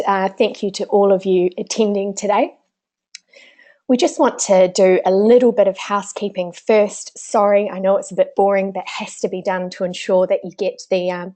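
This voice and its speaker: A woman's voice: mid-range voice, warm tone. New Zealand woman presenting a webinar. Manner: chatty, with frequent "uh" fillers.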